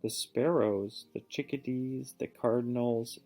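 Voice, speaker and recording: A man reading a picture book aloud to a child, pausing to ask questions and give patient encouragement. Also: mid-range voice, steady tone, dark tone, faint music behind. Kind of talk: storybook read-aloud with teaching asides.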